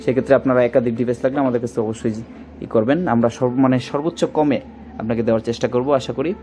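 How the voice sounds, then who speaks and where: Fast-talking Bangladeshi man pitching products. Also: fairly even intonation, dark tone, faint music behind. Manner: repetitive, searching for words with filler placeholders. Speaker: shop presenter demonstrating a product. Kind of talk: informal product demonstration and sales pitch. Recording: faint background noise.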